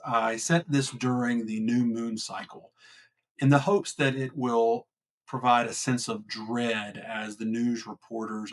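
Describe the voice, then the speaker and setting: A man speaking as the creator, giving an explanatory introduction to his own show. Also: mid-range voice.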